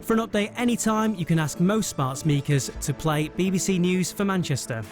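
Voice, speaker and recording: A man's voice: high-pitched, brisk, a little mellow, faint music behind. A British man reading a scripted radio news bulletin as the presenter.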